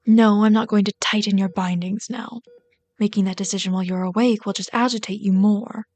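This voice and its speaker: A woman's voice: medium pitch, fairly even intonation, a little fast, lightly acted. Woman acting as a bitter, scolding villain queen. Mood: firm, clinical. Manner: scolding, sardonic, exasperated, dropping to hushed asides. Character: bitter, caring female villain rat queen tending a captive sidekick. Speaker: voice actor in an audio roleplay. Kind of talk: ASMR roleplay acting.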